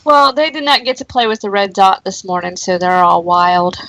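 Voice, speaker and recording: A woman's voice: medium pitch, expressive, normal speed, creaky, fairly bright tone, low-quality recording. A woman co-hosting a live stream show, chatting casually with dry, teasing quips. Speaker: podcast co-host. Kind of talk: casual chat.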